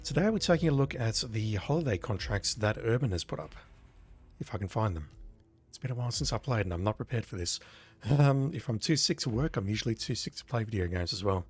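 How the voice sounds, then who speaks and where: A man commentates casually on his game stream in a croaky, worn voice. He talks in a rambling, chatty way at a slightly low pitch, with faint game music behind him. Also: lively intonation, fast talker. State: croaky from illness.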